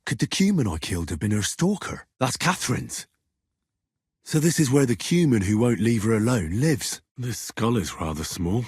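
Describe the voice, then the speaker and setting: A man playing a young, earnest, wry medieval adventurer in a video game, slightly husky, muttering his thoughts and quick to curse. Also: mid-range voice, big pitch swings, no room sound, studio quality. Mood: musing, curious. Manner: muttering thoughts to himself, bursts into shouts. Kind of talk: acted video game voice lines.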